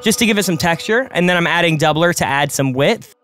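A man of twenty-eight, a music producer hosting a tutorial, talking quickly and conversationally as he explains each production step from his home studio. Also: on the high side, animated, a bit bright. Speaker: music producer and channel host. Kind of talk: screen-recorded production tutorial. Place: home studio with colored lights.

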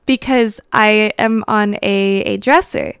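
A young woman in her twenties chatting casually on a gaming live stream, her voice slightly hoarse and worn, talking from a small room into a mic set far from her with the gain turned up. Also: mid-range voice, steady tone, takes it slowly. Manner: chatty, apologetic, greets viewers by name. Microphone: desk mic set far from her, gain turned up.

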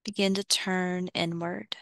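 Woman, very slow pace, lowish pitch, guided visualization, measured pauses, dry close sound. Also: little pitch movement, creaky, dry recording. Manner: measured, pausing between short phrases. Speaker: guided meditation host.

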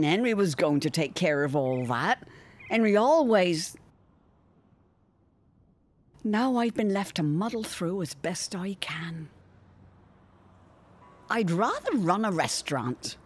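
A woman's voice: on the low side, expressive, slow, long pauses. A woman playing a grieving, plain-spoken widowed mother with a folksy, effusive manner in an audio drama. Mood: wistful, fretful.

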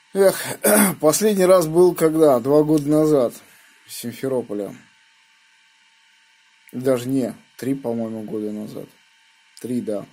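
A man makes casual amateur radio contact. His voice is mellow and mid-pitched. He talks in an unhurried, rambling way. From Moscow region, Russia. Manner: unhurried, rambling, spelling out callsigns phonetically.

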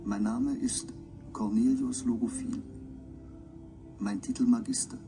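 An actor in a German radio drama playing a ceremonious, wry alien scholar with measured, elaborately polite speech. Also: male voice, medium pitch, huge pitch swings, creaky, far from the mic, music in the background, heavily acted. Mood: courteous, wry.

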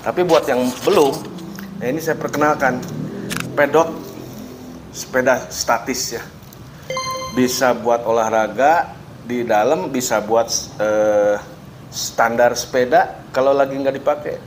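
A man's voice: mid-range voice, a little slow, pauses often, slight background noise, poor audio. A man in his forties hosting a casual review vlog in an indoor workshop, chatting and explaining things step by step with friendly asides. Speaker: DIY channel host. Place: workshop with tools on the wall, indoors.

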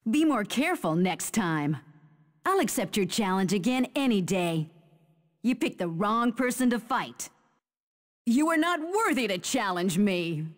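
Fierce fighting game lady, haughty taunts, really swoopy pitch, unhurried, big hall reverb. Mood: confident, challenging. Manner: haughty, theatrical, clipped declarations. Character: fierce female fighter taunting opponents in battle. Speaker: video game character voice. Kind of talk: dramatic fighting game battle quotes.

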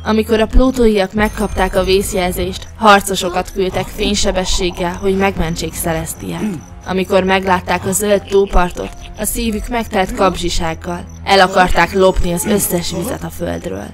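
A woman narrator reading a scripted fairy tale for an animated story. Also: medium pitch, soft background music.

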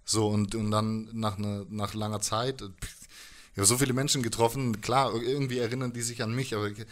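A man's voice: lowish voice, steady tone, normal speed. A man in his thirties chatting casually as a musician in an interview, telling stories with slangy asides into a handheld microphone. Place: living room, indoors.

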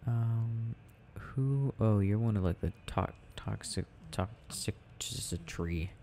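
Young male, mellow and creaky, soft ASMR gaming ramble, quiet musing delivery, slight background noise. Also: on the low side, slow pace. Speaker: audio roleplay creator. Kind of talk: soft casual rambling while gaming, ASMR sleep aid.

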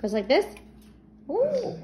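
A woman's voice: high voice, wildly swooping pitch, takes it slowly, background noise. A woman chatting casually to her pets in an encouraging, coaxing way, recorded on a handheld phone at home. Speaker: parrot owner filming her birds. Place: room at home with bird cages. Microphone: handheld phone camera. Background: television show, birds.

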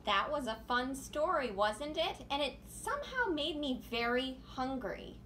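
A woman in her thirties informally hosting a children's storytime, pausing as she addresses young listeners. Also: high voice, very animated, fairly bright tone. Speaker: children's library storytime host. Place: small room indoors. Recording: noisy home recording.

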